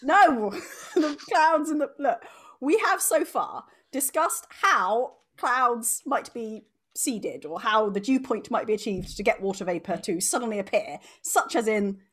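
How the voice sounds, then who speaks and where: A woman co-hosting a casual online panel chat, inquisitive and quick to interject with questions. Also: fairly high pitch, lively intonation, fairly bright tone, breathy edge. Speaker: co-host. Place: online video call.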